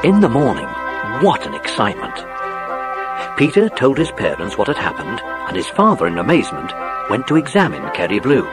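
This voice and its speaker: A man's voice: mid-range voice, huge pitch swings, brisk, over music, rough recording. A man narrating a scripted children's story as the narrator of an audiobook play.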